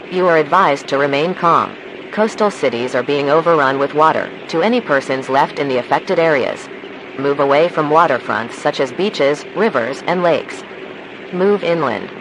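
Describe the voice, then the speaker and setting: Casting: man acting as a calm, formal emergency alert announcer, slightly high-pitched, measured delivery, clearly noisy recording. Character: calm, formal male emergency broadcast announcer in a disaster scenario.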